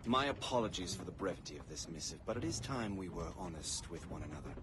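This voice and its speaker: An actor playing a dignified, resigned British colonel, narrating a letter formally and solemnly as a game voice-over. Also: male voice, sits low, expressive, quick pace. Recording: clear background noise.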